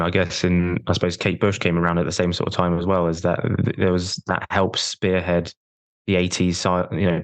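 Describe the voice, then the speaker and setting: A man interviewing as a podcast host in a conversational, halting and tentative way, appreciative of his guest. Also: low-pitched, dry recording.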